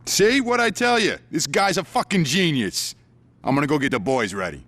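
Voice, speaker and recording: A man's voice: fairly high pitch, expressive, moderate pace, a bit bright, dry recording. A man playing a hot-tempered gang leader in a video game, blunt and profane. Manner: blunt, profane, short-fused. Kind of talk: video game cutscene acting.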